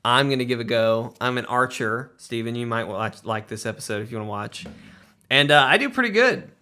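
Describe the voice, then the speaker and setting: A man's voice: mid-range voice, lively intonation, fairly quick, pushed and bright, smooth. A man hosting a casual podcast with a jokey, playful way of talking. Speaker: podcast host.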